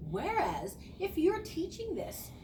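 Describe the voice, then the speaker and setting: A woman's voice: extremely high pitch, wildly swooping pitch, takes it slowly. A young adult woman, a student teacher, giving an earnest, conversational spoken reflection and explaining her ideas. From small rural town. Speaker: education student. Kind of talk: spoken reflective class presentation. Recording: echoey room, distant mic, noisy.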